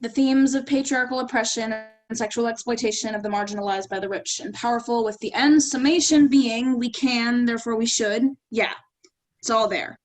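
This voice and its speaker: A woman's voice: fairly high pitch, steady tone, moderate pace, close to an everyday voice, low-quality recording. A woman playing a blunt, dryly sarcastic critic in a staged play reading. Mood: sardonic, incredulous. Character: blunt, sardonic woman critiquing a would-be writer's work. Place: remote virtual staged reading.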